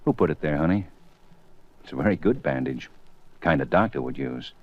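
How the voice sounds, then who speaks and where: A man's voice: extremely low pitch, expressive, normal speed. A man playing a businesslike, persistent police detective in a 1950s radio drama, asking terse, probing questions. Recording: muffled 1950 radio recording.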